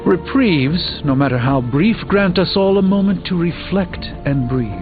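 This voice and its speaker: An actor playing an erudite, haughty middle-aged sorcerer superhero in a video game, speaking formally with dry, measured articulation. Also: male voice, high-pitched, animated, narrow, tinny audio. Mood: dry, brooding. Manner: formal, dry, slightly pompous. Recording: band-limited game audio under music.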